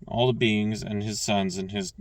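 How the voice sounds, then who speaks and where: A man reading scripture aloud, stumbling and restarting on names and clearing his throat now and then. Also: fairly low pitch, steady tone, moderate pace, recorded from a distance, slight background noise. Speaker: scripture reader.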